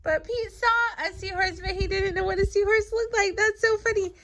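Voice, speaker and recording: A woman's voice: extremely high pitch, fairly even intonation, normal speed, fairly bright tone. A woman chatting casually with a child as she helps with reading, encouraging, correcting and explaining. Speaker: adult helping a child read.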